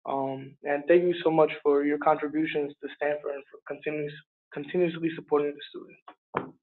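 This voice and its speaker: A man of about twenty, giving casual, halting answers as a student panelist. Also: on the high side, little pitch movement, phone-quality sound. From born in Liberia, moved to Harlem, New York. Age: around 20.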